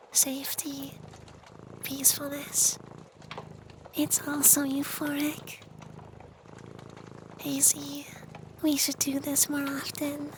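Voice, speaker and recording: A performer voicing a gentle, soothing femboy vampire in a soft, half-whispered ASMR roleplay. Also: sits high, background noise. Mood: blissful, soothing. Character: gentle femboy vampire who senses emotions, soothing, wistful.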